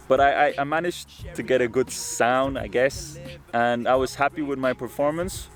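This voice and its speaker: Laid-back young man answering fan questions. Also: mid-range voice. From Ireland. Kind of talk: casual Q&A vlog.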